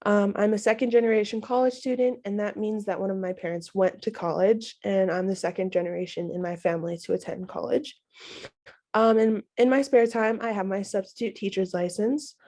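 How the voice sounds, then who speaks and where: A woman's voice: medium pitch, steady tone, fairly quick, mellow. A young woman getting over a cold, sounding nasal, introducing herself as a student panelist in a friendly, chatty way over a video call.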